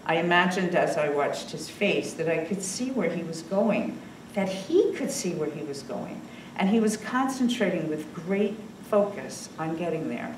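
A woman's voice: fairly low pitch, animated, slight background noise. Older woman reading her essay in a roomy salon. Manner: measured, reflective reading from paper. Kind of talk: reading a personal essay aloud. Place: small indoor salon with bookshelves and fireplace. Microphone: podium microphone on a stand. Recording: roomy sound from a distance.

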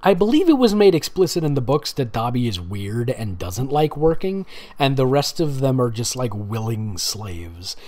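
A man's voice: mid-range voice, sing-song, normal speed, mellow. Man reading aloud, swooping intonation. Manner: amused, sarcastic, breaks off to comment. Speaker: host reading fan fiction aloud with commentary. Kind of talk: dramatic reading with casual mocking asides.

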